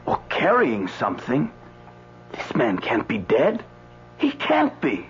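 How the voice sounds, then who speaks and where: An actor playing a flustered new doctor at a medical examiner's office in a radio drama, jittery and hesitant. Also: male voice, mid-range voice, huge pitch swings, slow. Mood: alarmed. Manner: jittery, hesitant, repeats himself. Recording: muffled radio recording, faint music.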